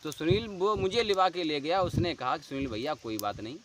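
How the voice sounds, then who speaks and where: Male, fairly high voice, rural western UP Hindi accent. Earnest, insistent personal storytelling, clearly noisy outdoor recording. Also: brisk. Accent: western Uttar Pradesh rural Hindi. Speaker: dholak player. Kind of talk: personal account told to camera.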